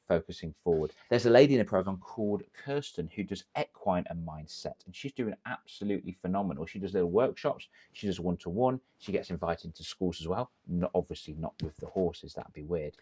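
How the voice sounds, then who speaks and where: An English man, a confidence coach, giving energetic direct-to-camera business advice full of rhetorical questions. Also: low-pitched. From England.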